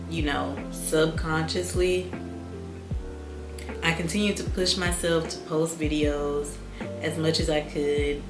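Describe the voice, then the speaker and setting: Young woman vlogger, calm and relaxed delivery, low voice, echoey room over background music. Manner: calm, soft-spoken, reflective. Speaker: YouTuber. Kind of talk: casual reflective vlog.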